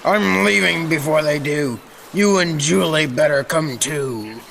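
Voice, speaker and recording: An actor playing a stubborn, superstitious old sailor who speaks in emphatic salty dialect, in old-time radio style. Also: male voice, a little high, a little slow, gravelly, fairly bright tone, a little hiss or hum. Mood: wary.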